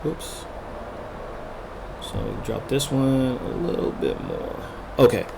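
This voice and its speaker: A man casually narrating a drawing tutorial as he sketches, thinking aloud in short unhurried fragments, his voice a little worn from talking. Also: medium pitch, sing-song, creaky, background noise. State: losing his voice, throat-clearing. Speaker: art tutorial host, illustrator.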